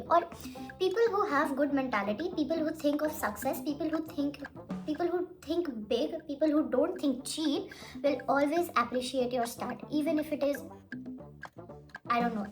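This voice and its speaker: A young woman, a Hindi speaker, giving blunt, rambling advice in a casual makeup vlog while she does her makeup. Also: high-pitched. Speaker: beauty vlogger.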